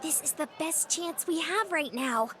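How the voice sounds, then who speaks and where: An actress voicing a bubbly, naive, excitable young woman with a cutesy, eager delivery in a visual novel game. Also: high voice, unhurried, full character voice.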